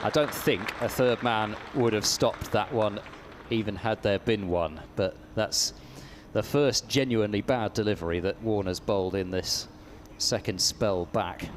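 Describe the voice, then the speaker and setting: A man's voice: lowish voice, measured pace, few pauses, slight background noise. A man doing ball-by-ball radio cricket commentary, hesitant and stumbling over repeated words, with his voice climbing when a shot is played. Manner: rises sharply when the action picks up.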